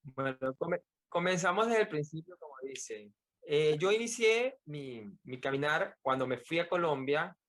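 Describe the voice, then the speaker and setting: A man's voice: on the high side, a bit bright. Venezuelan man telling his story reflectively. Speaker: podcast guest, author. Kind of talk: personal interview account.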